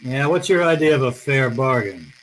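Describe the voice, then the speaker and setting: A man's voice: mid-range voice, expressive, moderate pace, a little hiss or hum, natural voice, low-quality recording. A man playing a tough, wry private eye in a radio noir drama, terse and slangy, both narrating and in dialogue. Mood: wry, wary. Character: wry, tough, hard-boiled male private detective.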